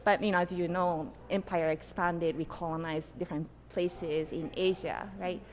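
A middle-aged woman from Japan, an author and guest, answering interview questions in an animated, explanatory way with frequent "you know" and "right?". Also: fairly low pitch, moderate pace, a little hiss or hum.

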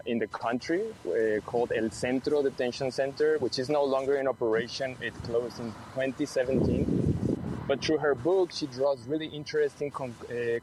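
A man's voice: medium pitch, slight background noise, poor audio. A man from Mexico hosting a live radio broadcast from outdoors, speaking thoughtfully with frequent restarts. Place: outdoors near a detention center, street traffic.

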